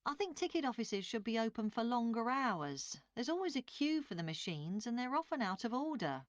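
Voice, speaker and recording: A woman's voice: fairly high pitch, big pitch swings, brisk, no echo. A woman playing an obliging, matter-of-fact train passenger answering questions in a scripted listening-test dialogue. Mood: mildly complaining. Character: woman journalist, obliging but mildly critical train passenger.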